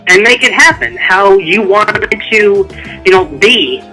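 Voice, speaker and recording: A man's voice: high voice, animated, measured pace, bright, forceful tone, faint music behind, rough recording. A man speaking as an author guest in a casual interview, rambling and conversational.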